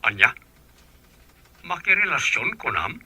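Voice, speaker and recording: An actor playing an excitable uncle who questions emphatically, with an Ilocano accent, in a radio drama. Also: male voice, medium pitch, a little slow, husky, no echo, exaggerated voice. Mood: startled, suspicious. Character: excitable adult uncle, fond yet suspicious. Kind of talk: Filipino radio drama acting.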